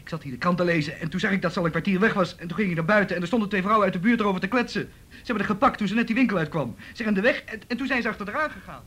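A man playing an agitated, husky-voiced bearer of bad news in a radio play. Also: high voice, racing, a bit bright. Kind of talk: Dutch radio play acting.

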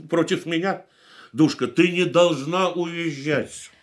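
A man's voice: fairly high pitch, slow. An actor in a radio play playing a wounded, bitter man who pleads with his departing lover, brooding and reproachful. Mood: tense, tender. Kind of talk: Russian radio play acting.

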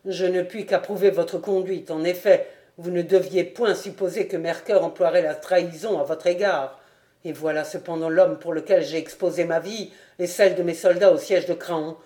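Woman narrator voicing an enraged warlord baron, loud and proud, book reading. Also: lowish voice, fairly even intonation, moderate pace, reverberant, natural voice. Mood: appeased. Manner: loud, shouting in rage.

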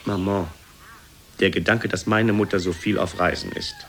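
Stern, low-voiced grown son. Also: male voice, huge pitch swings, a bit bright, a little hiss or hum. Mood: disapproving. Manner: formal, severe, deliberate with emphatic outbursts. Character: stern, disapproving grown son in his thirties, formally addressing his mother. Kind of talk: theatrical German radio drama acting.

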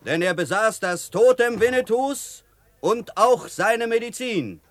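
High-pitched, deliberate Apache rider. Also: male voice, expressive, a bit bright, dry recording. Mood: earnest recounting. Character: friendly male Apache rider, earnest and emphatic. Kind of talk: German radio drama acting.

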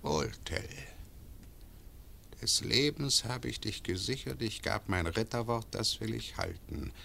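An actor playing an arrogant, tyrannical governor with a haughty, commanding and menacing manner in a classic radio play. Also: male voice, fairly low pitch, animated, measured pace, vocal fry, sharp tone, slight background noise, exaggerated voice. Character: arrogant tyrannical male governor, middle-aged.

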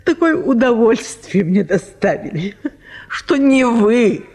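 Casting: a kindly general's wife, trusting and genteel, radio drama, unhurried delivery with a breathy rasp. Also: female voice, fairly high pitch, expressive, a little mellow, heavily acted. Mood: tender generosity. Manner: tender, genteel, effusive, repeats words emotionally. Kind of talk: theatrical Russian radio drama.

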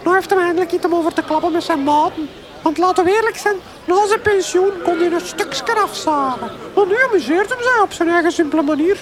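High-pitched, gossipy older Flemish village wife. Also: female voice, quick pace, a crowd in the background. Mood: fond, resigned gossip. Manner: colloquial, gossipy. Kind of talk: comic radio drama acting.

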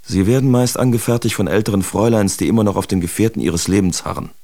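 A sardonic, self-absorbed bohemian reads out his letters in a literary radio drama, played by a male actor. His voice is fairly low and gravelly, recorded very dry. He relishes ornate words with deliberate, pointed emphasis. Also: mellow, no echo, natural voice. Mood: grandiose, musing.

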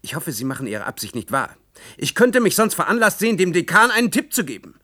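Sardonic academic, close dry sound. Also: male voice, sits high, very animated, fast talker, bright, forceful tone, no echo, exaggerated voice. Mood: reproachful. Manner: dry, ironic, reproachful. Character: principled, sardonic male university colleague. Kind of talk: German radio play acting.

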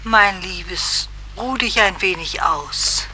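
An actress playing an older dead mother-in-law, gentle, calming and resigned, in a radio drama. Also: mid-range voice, slow, slight background noise, poor audio. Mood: gentle, subdued. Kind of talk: theatrical radio drama acting.

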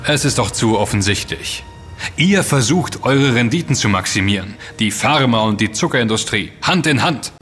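An actor playing a self-assured, intense investigator in a German audio drama, speaking emphatically and accusingly, with dramatic delivery. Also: male voice, mid-range voice, lively intonation, moderate pace, a bit bright, slightly rough. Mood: grim, accusing. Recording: long reverb with music underneath.

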